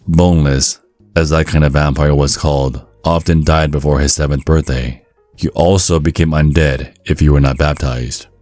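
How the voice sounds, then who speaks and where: A man narrating a scripted ASMR story in a measured, deliberate, deadpan way, close to the microphone. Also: extremely low pitch, no echo. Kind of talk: scripted ASMR narration of a dark-comedy guide. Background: faint music.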